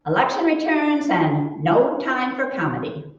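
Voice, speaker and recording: A sensible, affectionate old-time radio wife, played by a woman, with a slightly high voice and coaxing, theatrical lines. She is heard in a big echoey hall. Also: sing-song, unhurried. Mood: brisk. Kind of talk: 1940s radio comedy acting. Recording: echoey hall, distant mic, muffled.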